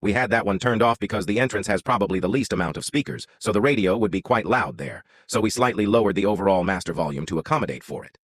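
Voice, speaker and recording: A man's voice: lowish voice, expressive, fast talker, hardly any pauses, dry recording, clean studio sound. A man reading out an online story as a scripted narration.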